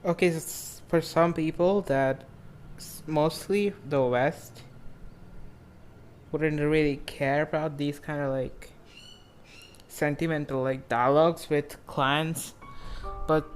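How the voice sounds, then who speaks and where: A man doing casual, rambling live reaction commentary on a game, excitable and prone to swearing and saying "like". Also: on the high side.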